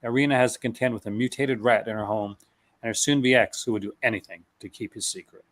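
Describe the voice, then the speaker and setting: A man's voice: mid-range voice, steady tone, quick pace, fairly bright tone. A man hosting a casual chat, reading out movie descriptions with stumbles and restarts and laughing often. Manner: reads product blurbs aloud, stumbling and restarting, laughs often. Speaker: host.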